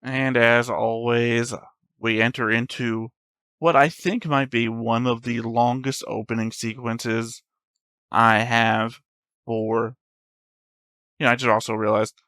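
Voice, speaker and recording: A man's voice: mid-range voice, flat delivery, takes it slowly, fairly bright tone, smooth, dry recording. A man hosting an anime reaction channel, talking casually and thinking aloud in a rambling way.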